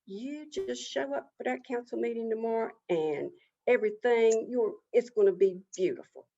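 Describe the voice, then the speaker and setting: A woman playing a sly male rabbit trickster in a folk tale, smooth-talking, folksy and casually reassuring. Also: a little high, normal speed, dark tone, lo-fi sound. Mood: coaxing.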